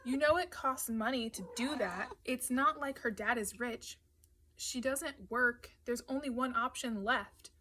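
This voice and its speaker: A brash adolescent boy, played by a woman narrator, sneering and quarrelsome. The voice is pushed higher and louder, taunting and argumentative, as in an audiobook. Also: measured pace, slight background noise. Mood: accusing.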